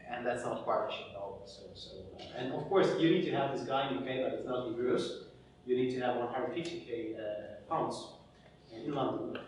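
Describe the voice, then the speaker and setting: A man from Poland speaking as a panelist at a casual industry meetup, halting and restarting, with lively stories. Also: medium pitch, background noise. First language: Polish. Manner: halting, many restarts and fillers, animated storytelling. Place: event venue with audience. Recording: echoey room, mic far off.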